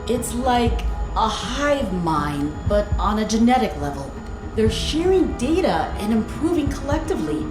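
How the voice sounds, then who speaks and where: An actress playing a brisk female military scientist in a sci-fi audio drama, assertive and explanatory. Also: a little high, very animated. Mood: intent, urgent. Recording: echoey room under music.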